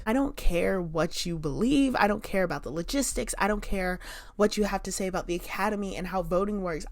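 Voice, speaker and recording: A young woman chatting casually to camera as a vlogger at home, expressive, with lots of "like" fillers and sudden bursts of emphasis. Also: mid-range voice, no room sound. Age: young adult. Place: room in her home.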